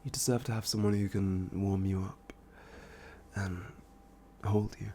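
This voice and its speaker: A man playing an obsessed, adoring stalker in a boyfriend ASMR roleplay, with a breathy, husky voice and a halting, pleading, worshipful manner. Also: lowish voice, steady tone, dark tone, slight background noise. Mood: tender awe. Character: obsessed, adoring young stalker smitten with a killer.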